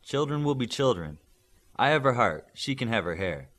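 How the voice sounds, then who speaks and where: A man voicing a dignified middle-aged warrior, a calm and proud father, in an audiobook. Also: medium pitch, normal speed.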